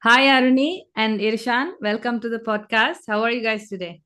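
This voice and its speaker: Higher-voiced woman hosting a podcast. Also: normal speed, a bit bright. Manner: brief, friendly prompts and replies. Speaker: podcast host. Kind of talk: casual podcast conversation.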